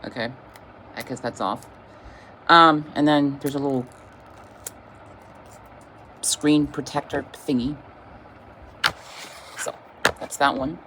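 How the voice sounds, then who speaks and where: A woman giving a casual, informal unboxing demonstration as a product reviewer, speaking haltingly with vague, everyday wording. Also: very deep voice, fairly even intonation, a bit bright, slight background noise, low-quality recording.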